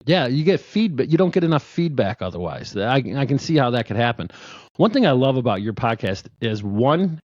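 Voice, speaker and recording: Excitable podcast host man, dry lo-fi. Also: medium pitch, brisk, dry recording. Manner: enthusiastic, joking, many restarts. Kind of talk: casual interview chat. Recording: dull dry lo-fi sound.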